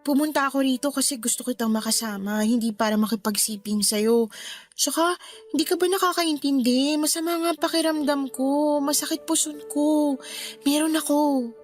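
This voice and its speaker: A woman's voice: high voice, moderate pace, faint music behind, lightly acted. A woman playing a hurt, stubborn young girlfriend in a radio drama, with a pouty, reproachful manner. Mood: reluctant, annoyed. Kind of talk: Tagalog radio drama acting.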